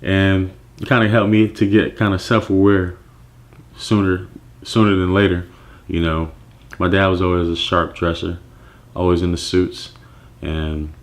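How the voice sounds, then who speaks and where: Deep-voiced man telling his story. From Nigeria. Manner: reflective, relaxed, with many fillers and restarts. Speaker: clothing brand founder. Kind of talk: personal story monologue.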